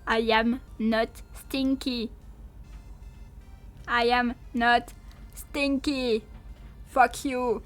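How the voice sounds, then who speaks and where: A French woman with a French accent chatting casually on a livestream, halting and repeating herself as she playfully protests to viewers. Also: fairly high pitch, fairly even intonation, soft background music. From France. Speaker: livestreamer, VTuber.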